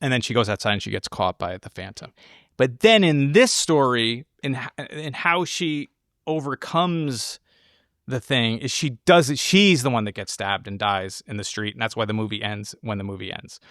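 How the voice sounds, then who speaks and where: Man, mid-range voice, casual film discussion, halting and excitable delivery, dry recording. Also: medium pitch, no room sound. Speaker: podcast co-host.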